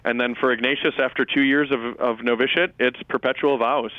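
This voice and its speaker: A man, as a soft-spoken priest on narrow radio audio. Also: medium pitch, fairly even intonation, no room sound. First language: Lithuanian. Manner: reflective, measured, with frequent fillers and restarts. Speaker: Jesuit priest and pastor, guest. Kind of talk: radio interview.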